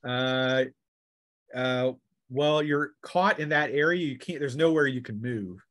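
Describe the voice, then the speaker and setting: A man's voice: medium pitch, slow. A man running a tabletop role-playing session as game master in a casual, playful manner. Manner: playful, chuckling, acts out sound effects and shouts.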